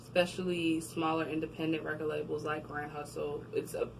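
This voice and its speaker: A young woman from New Jersey, a guest in a casual interview, telling her story with animated quoting and frequent laughter. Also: low-pitched, fairly quick, creaky. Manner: animated storytelling, laughs often, acts out quotes. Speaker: guest, record label marketing admin. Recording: distant mic with background noise.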